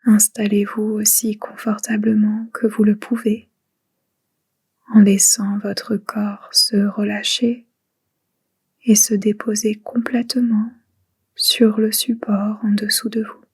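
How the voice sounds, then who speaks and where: Very slow, breathy woman guiding meditation. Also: medium pitch, little pitch movement. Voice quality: breathy, whispery. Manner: soothing, hushed, spaced short phrases. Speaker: guided meditation instructor. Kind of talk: guided relaxation meditation, soft and unhurried.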